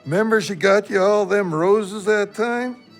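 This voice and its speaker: An actor in an audio drama playing a big, dim, soft-hearted sidekick with a guileless, slangy way of talking. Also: male voice, sits high, a little slow, vocal fry, faint music behind. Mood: slow, mild. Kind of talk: gritty contemporary audio drama dialogue.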